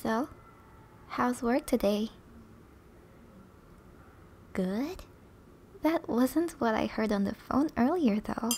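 Slow, high-voiced flirty wife played by a woman. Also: expressive, a little mellow, a little hiss or hum. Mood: affectionate, teasing. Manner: slow, playful, intimate delivery. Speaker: ASMR roleplay performer. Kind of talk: ASMR roleplay.

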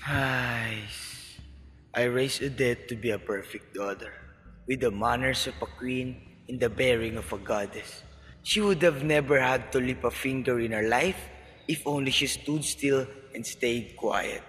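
A man's voice: medium pitch, a little slow, fairly bright tone, slight background noise, natural voice. A man playing an arrogant, wealthy middle-aged businessman and scornful father in an amateur radio drama, with a pompous, bullying manner. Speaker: voice actor. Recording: reverberant hall-like echo.

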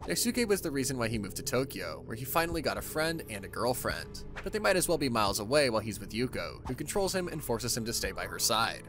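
Animated male recap narrator over music. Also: mid-range voice, fast talker, few pauses. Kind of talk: scripted story recap narration.

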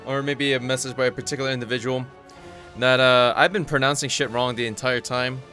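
A man whose first language is Korean, hosting his channel and commentating his own online fighting-game matches, excitable and swearing freely as he talks to himself. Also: mid-range voice, fairly quick, soft background music. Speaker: gaming channel host. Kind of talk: live gameplay commentary.

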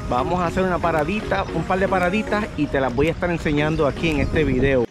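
Puerto Rican man in his 40s, high and eager, action-cam vlog from a kayak on the bay. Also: quick pace, music in the background. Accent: Puerto Rican Spanish. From Puerto Rico. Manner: excited, cheering, speaks to viewers. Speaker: kayaking vlogger. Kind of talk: upbeat travel vlog narration. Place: outdoors on a kayak on open bay water. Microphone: action camera on the kayak, sometimes held at arm's length.

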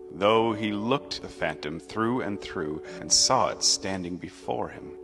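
A man narrating a classic story in a theatrical, measured and emphatic storytelling style. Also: low-pitched. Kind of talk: dramatic narrated reading of a classic Christmas tale. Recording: long reverb, music underneath.

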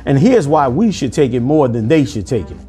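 A man in his forties preaching commentary over news clips, forceful and sermonizing, calling listeners "man", recorded indoors on a lapel mic. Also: mid-range voice, big pitch swings, a little fast, slightly rough, faint music behind. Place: indoor room.

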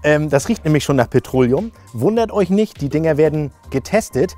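Mellow middle-aged man. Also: a little high, expressive, a little fast, soft background music. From northern Germany. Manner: informal, jokey, thinking aloud. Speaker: camping video host. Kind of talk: casual hands-on product test chat. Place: camping awning, outdoors and inside the tent.

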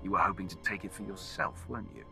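A very deep, gravelly male commander from a video game,. He sounds bright and hard-edged, giving terse orders over a soft music score. His manner is cold and suspicious. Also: steady tone, fast. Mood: commanding. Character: commanding male Sith warrior leader, cold. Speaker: player character, alliance commander.

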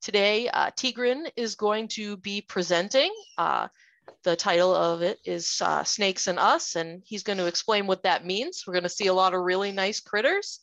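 A woman's voice: mid-range voice, moderate pace, a bit bright, dry recording, poor audio. A woman hosting an online meeting, introducing the speaker in a friendly way, with frequent fillers. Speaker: meeting host.

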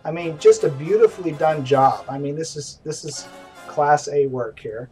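A man's voice: on the high side, moderate pace, darkish tone, far from the mic. A middle-aged man hosting a casual game stream, chatty and self-deprecating, recorded at home. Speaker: stream host. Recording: voice from away from the mic, faint music behind.

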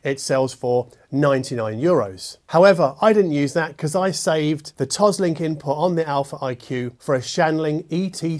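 A middle-aged man presenting a product review conversationally, with measured, emphatic phrasing and casual asides. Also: a little high. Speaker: host, audio gear reviewer. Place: furnished living room with acoustic panels, indoors.

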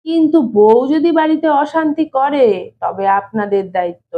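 A shy, stubborn young bachelor read by a woman in an audio story. He speaks plainly and evasively in a high voice. The recording is narrow and phone-like. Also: expressive, normal speed. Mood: stubborn, reluctant. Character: shy, stubborn young bachelor brother-in-law, reluctant to marry. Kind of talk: dramatized audiobook reading. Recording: thin phone-like narrow-band sound.

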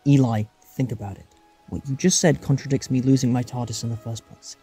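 A man's voice: mid-range voice, fairly even intonation, fairly quick, warm tone, breathy edge, faint music behind. A man performing earnest, alarmed adventurers in a fan-made audio drama, with dramatic, emotive delivery. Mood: tense, pleading. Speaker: voice actor performing all parts.

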